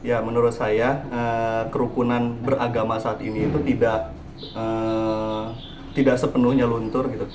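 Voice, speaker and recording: A man's voice: mid-range voice, level tone, moderate pace, noisy background. Hesitant young man in an echoey room. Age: 20s. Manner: hesitant, many "eh" fillers and "gitu". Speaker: interviewee, entrepreneur. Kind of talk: casual spoken answers. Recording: roomy echo.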